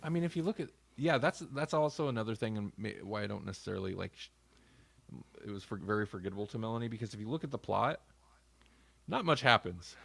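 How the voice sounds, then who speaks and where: A man's voice: lowish voice, lively intonation, fast talker, creaky, fairly bright tone, breathy edge. A man co-hosting a podcast, talking casually in a rambling, self-interrupting way. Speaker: podcast co-host. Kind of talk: casual review chat.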